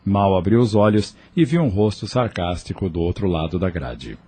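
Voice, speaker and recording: A man's voice: sits low, animated, moderate pace, mellow, husky. A man narrating a scripted audio novel as a storyteller. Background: faint music.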